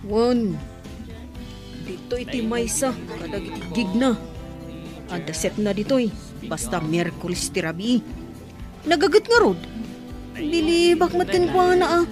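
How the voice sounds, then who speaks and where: An actor playing an excitable, chatty buddy in a radio drama, with a Filipino accent. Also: male voice, very high voice, measured pace, long pauses, over music. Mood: excited. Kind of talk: Ilocano radio drama acting.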